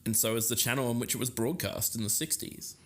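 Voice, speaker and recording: A man's voice: mid-range voice, fast talker, a bit bright. An Australian man co-hosting a podcast in a conversational style. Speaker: podcast co-host.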